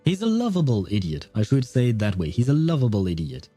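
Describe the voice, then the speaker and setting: A man's voice: mid-range voice, wildly swooping pitch, fast, mellow, soft background music. A man streaming a story game, chatting casually in a rambling, jokey way and laughing at himself. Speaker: gaming streamer. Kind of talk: casual live game commentary.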